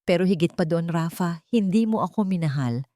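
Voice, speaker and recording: A Filipino woman voicing a hurt, coldly resolute young wife in an audio romance, speaking in a calm, bitter, measured manner. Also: lowish voice, no room sound, studio quality, lightly acted. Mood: cold accusation.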